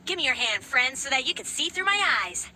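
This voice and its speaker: A woman's voice: high voice, big pitch swings, fairly quick, sharp tone, heavily acted. A woman voicing a giant talking flower that guards a hall, friendly and easygoing, with casual slang. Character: giant talking flower, cheerful laid-back gatekeeper. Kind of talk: video game dialogue. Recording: game audio with clear background noise.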